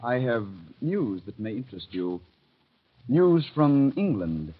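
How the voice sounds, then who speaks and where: A man acting in a 1930s radio drama as a sly, insinuating informer who probes with theatrical, deliberate pauses. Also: mid-range voice, big pitch swings, husky, full character voice. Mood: sly, probing. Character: sly, insinuating male informer, probing and needling. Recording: dull, muffled vintage radio recording.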